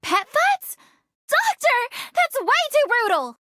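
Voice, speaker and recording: Bubbly, playful young girl for a game: piercingly bright and animated. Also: very high-pitched, slow pace, a little hiss or hum, exaggerated voice. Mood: excited. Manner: bubbly, exclaiming.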